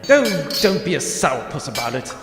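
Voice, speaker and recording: A man's voice: on the high side, very animated, moderate pace, background noise. A man playing a cocky, flippant space captain in a comedic sci-fi audio drama, with theatrical bantering delivery. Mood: flippant, persuading. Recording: echoey reverberant room, music underneath.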